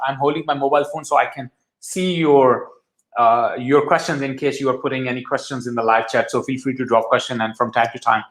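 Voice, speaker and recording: A man's voice: mid-range voice, fairly bright tone. A man, a guest career adviser, explaining job searching in English with fillers and restarts. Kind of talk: live career talk show. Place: office with big windows, indoors. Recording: roomy office echo.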